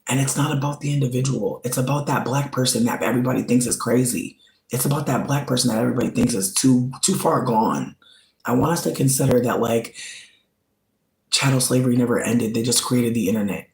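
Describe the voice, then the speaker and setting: A man's voice: mid-range voice, brisk, creaky, a little mellow. Channel host, male, earnest and pleading, repeats himself, room echo. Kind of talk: direct-to-camera commentary.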